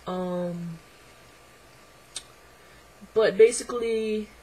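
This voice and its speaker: A young man talking hesitantly to camera in a casual video diary, his voice midway through deepening and a little unsettled, with many ums and likes. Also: very slow, poor audio. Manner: hesitant, lots of ums and likes, quiet and reflective. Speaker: vlogger.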